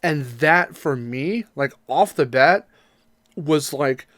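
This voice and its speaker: A man's voice: fairly high pitch, slow pace, a bit bright, dry recording, studio quality. A man co-hosting a podcast, chatting casually in an informal, enthusiastic way. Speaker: podcast co-host. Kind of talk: casual chat about games and movies.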